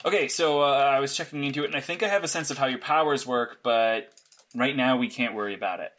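Guy as brainy vigilante planner, blunt and clipped, medium pitched everyday voice, audio drama. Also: fast, fairly bright tone, lightly acted. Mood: confident, planning. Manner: matter-of-fact, clipped, insistent. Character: blunt, analytical, sleepless male vigilante mastermind. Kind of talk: naturalistic audio drama dialogue.

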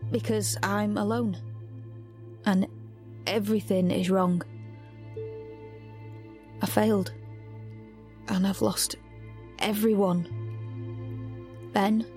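Actress as lonely young rebel woman narrating her dystopian life, halting n self-questioning, music underneath. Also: medium pitch, a little mellow, close to an everyday voice. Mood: bereft. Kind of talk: first-person audio drama narration.

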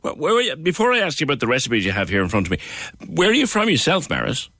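A man presenting a casual radio chat, chatty and encouraging, with quick asides. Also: on the high side, wildly swooping pitch, pushed and bright, dry recording.

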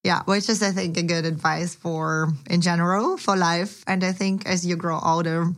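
Woman, Ukrainian accent, slightly low voice, casual podcast chat, chatty with frequent restarts. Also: fairly even intonation, moderate pace. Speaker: podcast co-host, angel investor.

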